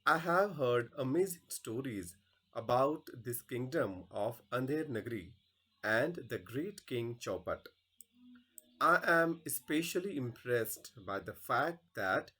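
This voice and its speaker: A man playing a polite, admiring disciple in a stage play, speaking in a courteous, measured way. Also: medium pitch, sing-song, fairly bright tone, slightly rough, exaggerated voice. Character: polite, admiring male disciple visiting a kingdom.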